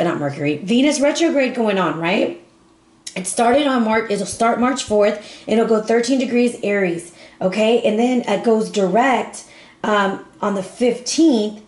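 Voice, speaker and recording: A hoarse woman who has lost her voice, giving a casual, chatty monthly tarot reading to her viewers. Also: on the high side, roomy sound. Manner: warm, chatty, affectionate with viewers. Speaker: tarot reader.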